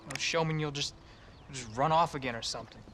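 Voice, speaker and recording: A man's voice: medium pitch, fast talker, very noisy, natural voice. An actor playing a reserved, bookish American farm boy in his teens in a western video game. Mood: sulky, guarded. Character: sullen, bookish teenage frontier farm boy. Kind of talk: cinematic video game acting.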